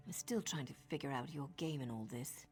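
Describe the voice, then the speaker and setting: An actress voicing a sly, seductive assassin in a video game, with a cool, teasing and menacing manner. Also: female voice, rumbling low, fairly quick, a little mellow. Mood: wary, determined. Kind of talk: video game character dialogue. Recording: music behind the voice.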